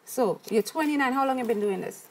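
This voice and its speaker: A woman's voice: high voice, a little fast, a little hiss or hum, rough recording. A woman from Trinidad with a Trinidadian accent, hosting a talk show and interviewing a guest in a playful, flirty, teasing way.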